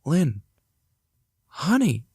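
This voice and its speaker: A man playing a devoted young husband and father in an audio drama, gentle, curious and theatrical. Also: fairly high pitch, wildly swooping pitch, very slow, slightly rough, no room sound, clean studio sound, heavily acted. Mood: playful, then tender. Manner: reassuring, theatrical, thinks aloud to himself. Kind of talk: hobby audio drama acting.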